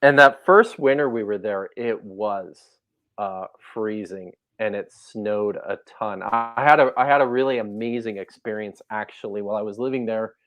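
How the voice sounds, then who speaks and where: A man's voice: lowish voice, normal speed, fairly bright tone, no room sound. A man talking as a guest scholar in a casual interview, thoughtful and hesitant, with many fillers and restarts.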